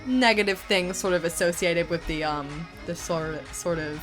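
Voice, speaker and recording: A woman's voice: fairly low pitch, normal speed. A woman answering viewer questions as a co-creator, in a casual, chatty and enthusiastic way. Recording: voice over background music. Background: instrumental music.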